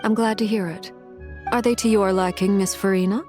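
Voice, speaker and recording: A woman voicing a poised, formal and gracious noblewoman in a video game, calm, measured and polite. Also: mid-range voice, soft background music, lightly acted. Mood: composed, gracious. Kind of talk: English video game voice acting.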